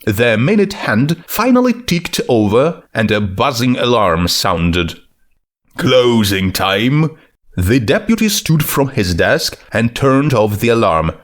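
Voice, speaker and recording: A man's voice: mid-range voice, sing-song, a little slow, hardly any pauses. A man reading a piece of comic fiction aloud as its narrator, in scripted storytelling style.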